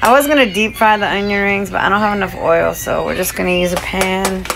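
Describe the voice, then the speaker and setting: A woman's voice: mid-range voice, fairly quick, creaky. A young woman narrating as she cooks in her home kitchen, talking to the camera in a chatty, casual way. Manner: chatty, talks to camera while cooking. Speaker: cooking vlogger. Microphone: phone held or propped near stove. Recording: home phone audio with kitchen noise.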